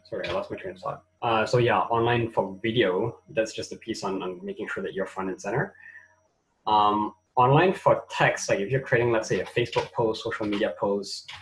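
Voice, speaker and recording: Low-voiced man with a Malaysian accent. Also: rough recording. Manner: relaxed, thinks aloud with fillers. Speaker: webinar co-host. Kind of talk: casual online webinar talk. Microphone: video call.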